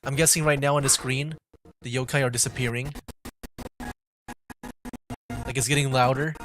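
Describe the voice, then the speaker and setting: Casual male game streamer, young adult, mid-pitched, chatting through livestream gameplay with light music underneath. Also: fairly even intonation, quick pace.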